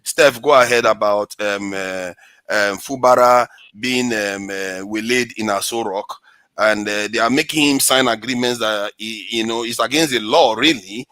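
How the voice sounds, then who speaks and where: Bright, forceful low-voiced male anchor. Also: normal speed, no echo. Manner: animated, chiding, cuts in to keep order. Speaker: anchor of the online show. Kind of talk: online panel discussion.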